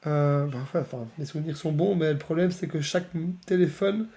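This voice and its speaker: Man with a cold, nasal and a bit hoarse, chatty live demo, slightly high voice. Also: a little fast, mellow. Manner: chatty, many fillers, laughs often. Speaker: software presenter. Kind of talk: casual live-stream demo.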